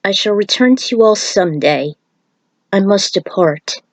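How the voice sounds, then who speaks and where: A woman's voice: mid-range voice, animated, moderate pace, no room sound, close to an everyday voice. A woman voicing a solemn, fatherly male thunder god in a grave, formal story farewell. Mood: solemn, tender.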